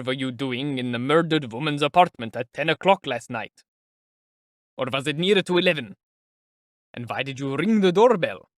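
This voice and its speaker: A man's voice: medium pitch, fairly bright tone, no echo, studio quality, lightly acted. A man voicing a sly, sardonic middle-aged male investigator who talks in a chatty, teasing, politely mocking way. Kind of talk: audiobook character voice.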